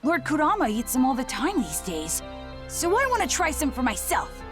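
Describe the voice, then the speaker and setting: A woman's voice: high-pitched, wildly swooping pitch, normal speed. A woman voicing a mischievous young boy who teases and bargains, in a game dub. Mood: coy. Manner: playful, teasing, bargaining. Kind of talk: video game voice acting. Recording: game audio with music behind.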